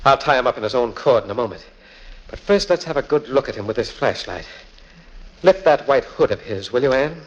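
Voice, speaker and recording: An actor playing a shrewd, steady detective in a 1940s radio mystery, firm and deliberate. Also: male voice, mid-range voice, dull audio, natural voice. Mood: reassuring. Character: shrewd, steady male detective confronting a killer. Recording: old 1940s radio recording.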